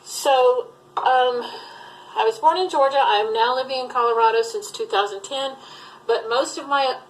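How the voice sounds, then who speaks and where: A woman's voice: sits high, normal speed, rough recording. A middle-aged woman, raised in Alabama, who runs a thrift resale channel, chatting casually and rambling with many fillers. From Southern US, Alabama. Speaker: thrift reseller vlogger. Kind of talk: casual tag video chat.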